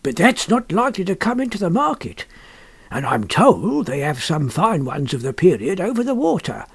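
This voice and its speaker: A man's voice: high voice, darkish tone, husky, voice pushed up, exaggerated voice. A man voicing an obsequious, wily antique dealer in an audiobook, full of wheedling sales patter and colloquial grammar. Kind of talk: audiobook character acting.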